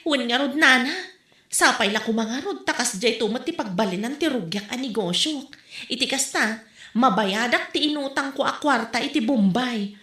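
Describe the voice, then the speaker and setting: Woman acting a high, bright, pushy market vendor. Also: moderate pace, natural voice. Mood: worried, hopeful. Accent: Ilocano, northern Philippines. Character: pushy, exasperated female market vendor in debt. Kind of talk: comedy audio drama acting. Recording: echoey room.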